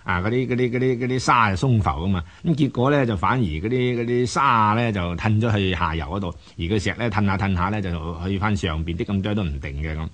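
A man giving unscripted explanatory commentary as a programme host, didactic and halting, often repeating words. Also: fairly low pitch.

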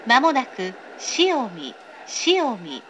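A woman's voice: fairly high pitch, very animated, drawn-out words, fairly bright tone, poor audio. A woman reading a scripted, pre-recorded train announcement in a polite, formal, carefully enunciated way. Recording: recorded aboard a train, clear background noise.

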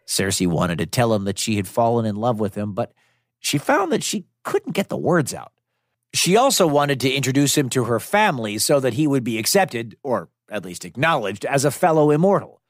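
A man's voice: mid-range voice, very animated, moderate pace, no room sound, studio quality. A man narrating a children's myth as storyteller, in a playful, conversational way. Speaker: storyteller host.

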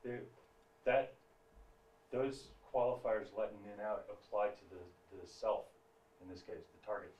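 Low-voiced man, distant and roomy. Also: a little slow, long pauses, lo-fi sound. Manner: conversational, tags with "right?". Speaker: co-presenter adding comments from the room. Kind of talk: off-mic comment in a talk.

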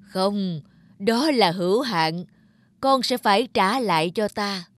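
A woman voicing a benevolent almighty creator in a cartoon, calm, measured and solemn. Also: medium pitch, no echo, clean studio sound.

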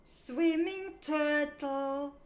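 A woman reading a picture book aloud for young children, in a sing-song, clearly enunciated way. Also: high-pitched, very slow. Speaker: children's storybook reader.

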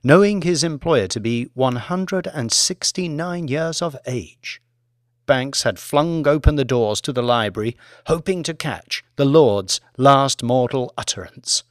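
A man reads dramatic audiobook narration. His mid-pitched voice moves expressively through deliberate phrases, with plenty of rise and fall. The sound is close and dry. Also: expressive, no echo.

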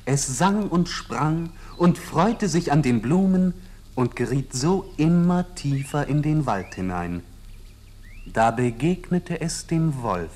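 A man tells a fairy tale as a scripted narrator. His mid-pitched voice moves expressively and unhurriedly through each phrase. There is faint noise behind him. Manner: measured, expressive storytelling. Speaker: storyteller, narrator.

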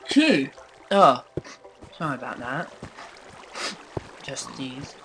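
A man's voice: mid-range voice, expressive, drawn-out words. A young man with a cold, sniffly and thinking aloud in casual chat as he plays a game. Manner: chatty, thinks aloud while playing, often quiet and murmured. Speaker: let's play host. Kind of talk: casual gameplay commentary. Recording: game music behind the voice. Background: game music.

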